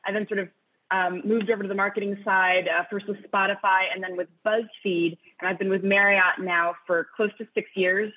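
A woman's voice: medium pitch, little pitch movement, normal speed. A woman in her forties from Brazil and the US, introducing herself conversationally as a panelist on a video call. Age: 40s. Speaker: panelist, marketing executive. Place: indoor home room. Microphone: wireless earbuds on video call. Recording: thin phone-like video-call audio.

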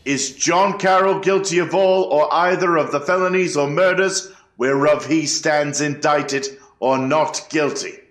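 A man's voice: on the high side, a little slow, hardly any pauses, fairly bright tone. A man playing an eighteenth-century court clerk, calling out formal, ritual proclamations to a jury. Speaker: actor in a historical trial reenactment. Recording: echoey room.

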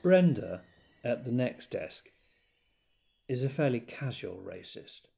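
An animated, exasperated male office gossip. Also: medium pitch, slow, gravelly, exaggerated voice. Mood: dry, confiding. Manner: sardonic, emphatic, confiding. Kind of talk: conversational audio drama monologue.

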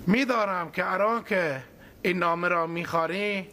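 A man's voice: high voice, bright, forceful tone. A man voicing an earnest, lovesick male puppet in a TV comedy, bossy and pleading as he dictates phrase by phrase. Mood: dictating, earnest.

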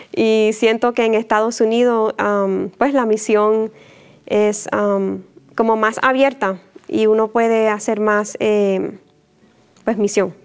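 A woman in her thirties from Puerto Rico, a religious sister giving a gentle, unhurried personal testimony to camera on a lapel mic in a church chapel, with soft fillers and small laughs. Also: on the high side, smooth. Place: indoor church chapel, mid-sized.

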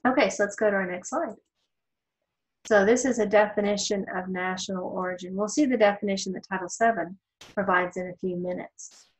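A woman law instructor narrating a slide lecture in a thoughtful, explanatory way, pausing with ums and restarting her sentences. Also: medium pitch, steady tone, rough recording.

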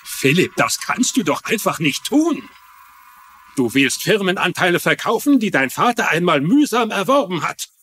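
Older male executive, husky voice, swooping intonation, flustered, earnest, TV drama dub. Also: high voice, sing-song, normal speed, a bit bright, exaggerated voice. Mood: pained, pleading. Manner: hesitant, earnest. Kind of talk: dubbed TV drama dialogue.

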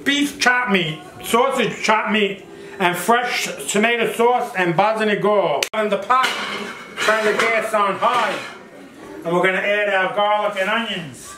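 A man's voice: high voice, measured pace, noisy background. A middle-aged man hosting a casual cooking demonstration in short, exclamatory remarks, recorded in a home kitchen. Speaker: cooking show host. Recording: roomy echoing kitchen, music behind.

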